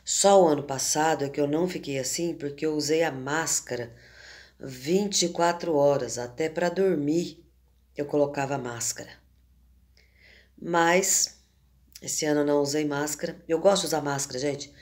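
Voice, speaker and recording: A hoarse woman in her fifties with a cold, from Brazil, chatting in a homely, devotional way to her viewers and recorded on a phone at arm's length in a room at home. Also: low voice, a little mellow. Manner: slow, homely talk with frequent "né" and "gente". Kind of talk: casual devotional chat to viewers. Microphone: phone camera at arm's length.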